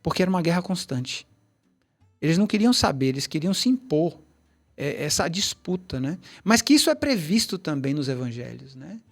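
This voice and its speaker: A man's voice: a little high, big pitch swings, normal speed, creaky, soft background music. A middle-aged man, a tai chi teacher, telling long rambling stories in a casual interview, with many restarts and asides. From Brazil, Bahia.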